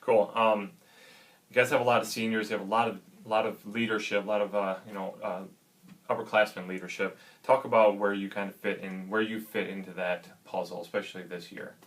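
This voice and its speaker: Low flat-voiced guy hosting a college sports chat, upbeat, lots of ums and "right"s. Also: brisk. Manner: upbeat, filler-heavy, frequent backchannel agreement. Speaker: interviewer for a college athletics show. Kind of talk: casual sports interview.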